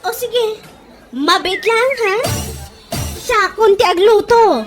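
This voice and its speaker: An actress playing a meek, eager young restaurant helper in a radio comedy drama. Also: female voice, very high voice, slow pace, over music. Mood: obliging.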